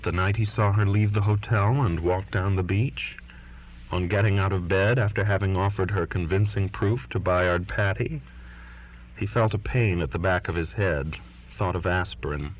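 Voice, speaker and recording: A man with a low-pitched voice reads a novel aloud as an audiobook narrator. The delivery is scripted literary narration, and the recording sounds muffled and lo-fi. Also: moderate pace. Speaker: audiobook reader. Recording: dull lo-fi recording.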